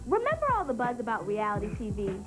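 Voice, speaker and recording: A woman's voice: fairly high pitch, wildly swooping pitch, quick pace. A woman hosting a show, reading a scripted introduction. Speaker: show host. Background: loud music.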